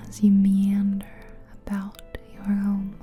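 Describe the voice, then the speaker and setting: A woman doing a soft-spoken ASMR guided visualization in a breathy whisper, soothing, with pauses between short phrases, close to the mic. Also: mid-range voice, monotone. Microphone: close stereo ASMR microphone. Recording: close ear-to-ear mic, music behind.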